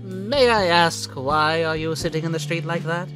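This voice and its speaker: A man's voice: a little high, normal speed, music in the background, close to an everyday voice. A man playing a suave, menacing radio demon in a cartoon dub, talking with theatrical, condescending politeness. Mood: smug. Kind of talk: cartoon comic dub acting.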